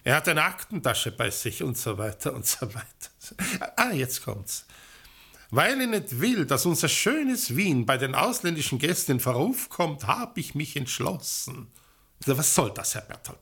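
A raspy, sharp-voiced sneering editor. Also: male voice, fairly high pitch, big pitch swings, measured pace, exaggerated voice. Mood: scornful. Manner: sarcastic. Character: irascible, sneering newspaper editor. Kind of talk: radio play acting.

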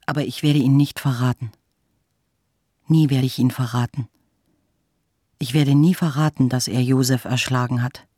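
An actor playing a defiant, secretive and fiercely loyal confessor in a radio play, speaking insistently. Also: male voice, mid-range voice, fairly even intonation, moderate pace, pauses often, dark tone, no room sound, studio quality, lightly acted. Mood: defiant loyalty. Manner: insistent, repeating.

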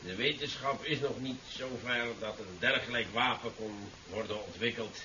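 Man playing dry precise scientist in an old radio play, bright forceful voice, a bit far off the mic, hissy. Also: mid-range voice, moderate pace, natural voice. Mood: dismissive. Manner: formal, precise, lecturing. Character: male university scientist, formal, dry, quick to take offence. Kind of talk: 1970s radio drama acting. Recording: distant, dull, hissy old radio recording.

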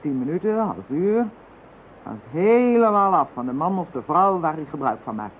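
A woman's voice: low voice, very animated, moderate pace. A woman playing a shrewd, self-assured psychic in a radio drama, speaking with confident, matter-of-fact certainty. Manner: confident, matter-of-fact, frantic when alarmed. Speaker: psychic adviser. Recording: tinny narrow-band radio recording with faint hiss.

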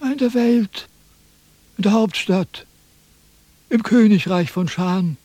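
A haughty philosopher of ancient China in a theatrical radio play. His voice sits somewhat high and mellow, with a husky edge in a dry studio. He lectures with pompous impatience and condescension. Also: takes it slowly, dry recording. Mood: impatient, probing. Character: pompous, self-important male Chinese sage, condescending and impatient. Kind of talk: theatrical radio drama dialogue.

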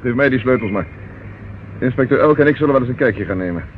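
An actor in a radio play playing a brisk, authoritative police inspector, businesslike and courteous. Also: male voice, medium pitch, lively intonation, natural voice. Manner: businesslike, courteous but commanding. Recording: tinny, noisy old radio recording.

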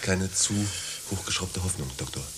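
Awkward, halting, very low-voiced executive. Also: male voice, a bit bright. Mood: awkward, hesitant. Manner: halting, repeats himself in short phrases. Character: awkward, humourless male executive, self-important about his ideas. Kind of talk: satirical radio play acting. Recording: hissy radio play recording.

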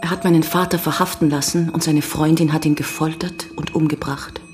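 An actress playing a grave young American woman giving measured, sombre testimony in a German radio crime drama. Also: sits low, steady tone, over music, natural voice. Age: young adult. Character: grave young American woman recounting her father's betrayal. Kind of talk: German radio play acting.

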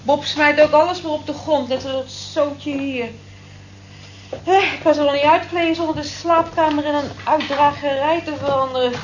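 A high-pitched, working-class night cleaner played by a woman, musing and observant in a radio drama. She chats in a wistful, reflective way. The recording is muffled and roomy, with some hiss. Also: steady tone, moderate pace, close to an everyday voice. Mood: chatty, musing. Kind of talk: radio play acting.

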